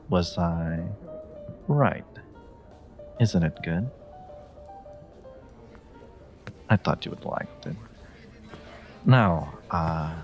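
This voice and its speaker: A man playing a suave, teasing stranger who flirts in an intimate audio roleplay, pausing and hesitating playfully as he talks. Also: deep voice. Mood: flirty. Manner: teasing, hesitant pauses, frequent soft chuckles.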